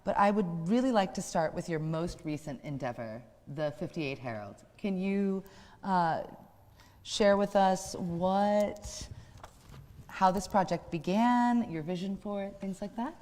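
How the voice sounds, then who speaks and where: A woman's voice: fairly low pitch, lively intonation, a little slow, a little mellow. A woman with an American accent hosting a live stage show, speaking in a formal, courteous way. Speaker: host. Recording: hall reverb.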